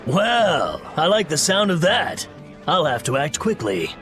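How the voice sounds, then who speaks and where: A shrewd dockside trader speaks in a fairly high male voice with swooping intonation. He sounds sly and conspiratorial in a game scene, over clear music. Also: sing-song, measured pace, a bit bright. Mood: pleased, sly. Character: shrewd male harbour merchant, pirates' contact. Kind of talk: animated game voice acting. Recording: music behind the voice.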